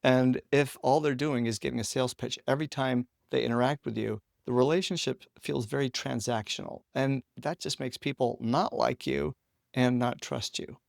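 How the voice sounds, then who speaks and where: Creaky-voiced man interviewing. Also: mid-range voice, moderate pace, no echo, studio quality. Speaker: podcast interviewer. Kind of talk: conversational interviewing.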